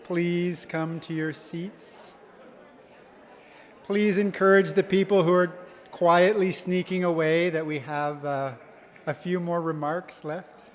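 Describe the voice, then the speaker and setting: A man's voice: sits high, steady tone, slow. A man emceeing a college convocation, coaxing people back to their seats with light laughs. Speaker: event emcee. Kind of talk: emcee announcements. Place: large hall. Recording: long hall reverb.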